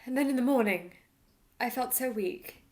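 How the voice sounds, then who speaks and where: A woman playing a high-voiced, delicate young lady. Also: moderate pace, darkish tone, natural voice. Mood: haunted. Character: delicate, troubled young gentlewoman under a vampire's spell. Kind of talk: theatrical radio play acting.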